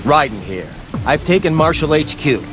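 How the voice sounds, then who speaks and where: An actor playing a terse, driven cyborg soldier in a video game cutscene, clipped, grim and determined. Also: male voice, on the high side, very animated, normal speed. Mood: grim, urgent. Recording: music behind the voice.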